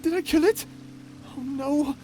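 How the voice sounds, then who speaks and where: A performer voicing a shy, gentle and curious octopus traveller in an ASMR story roleplay, timid and stammering. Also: high-pitched, a little slow, a little hiss or hum. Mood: panicked.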